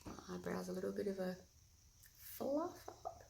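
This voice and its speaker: Husky chatty lady doing a makeup tutorial, kinda gravelly, roomy echo. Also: mid-range voice, expressive, measured pace, a little hiss or hum. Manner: loud, chatty, talks through each step. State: early morning, no coffee yet. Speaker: makeup vlogger. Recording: echoey room.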